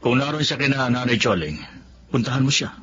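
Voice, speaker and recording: A man with a Filipino accent acting in a radio drama as a sober older brother giving measured, sympathetic advice. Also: medium pitch, expressive, a bit bright, husky. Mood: advising. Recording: muffled lo-fi audio.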